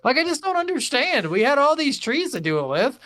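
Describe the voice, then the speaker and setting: A man's voice: very high voice, very animated, brisk, a bit bright, no echo. A man co-hosting a video game podcast, chatting casually with heavy swearing and incredulous rants, acting out lines he quotes. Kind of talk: casual, profane game review chat.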